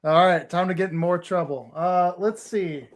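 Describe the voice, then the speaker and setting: A man's voice: high voice, steady tone, normal speed. A man from Texas, a podcast guest talking casually and earnestly, rambling with fillers and restarts.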